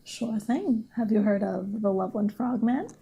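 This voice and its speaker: A woman's voice: medium pitch, moderate pace, warm tone. A woman guesting as a podcast co-host, telling a story in a casual, hesitant, unscripted way. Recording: clearly noisy recording with clicks.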